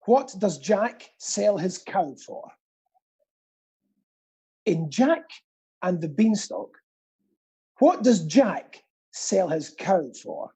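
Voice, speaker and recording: A middle-aged British man hosts a live quiz in a husky voice. The tone is mellow, and he banters casually, repeating phrases theatrically for comic emphasis. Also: high-pitched. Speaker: quiz co-host and pantomime dame performer.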